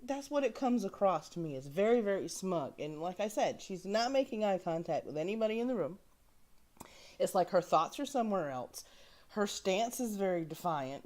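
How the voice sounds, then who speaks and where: Low-pitched woman giving emphatic film commentary. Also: darkish tone. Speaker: host of a film reaction show. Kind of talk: casual opinionated commentary on a film clip.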